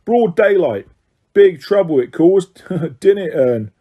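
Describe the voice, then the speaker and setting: A man's voice: high-pitched, animated, takes it slowly, slightly rough, phone-quality sound, pitched above the performer's own voice, full character voice. A man doing a chatty bus conductor. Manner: gossipy, breathless, dramatic. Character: chatty excitable young male bus conductor.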